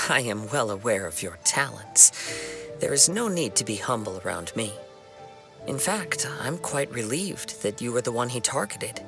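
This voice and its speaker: A young male wandering swordsman from a video game, humble and gentle. His speech is formal and measured, with some creak in the voice, over clear background music. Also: mid-range voice. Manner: soft-spoken, formal, measured, earnest. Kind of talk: scripted video game dialogue.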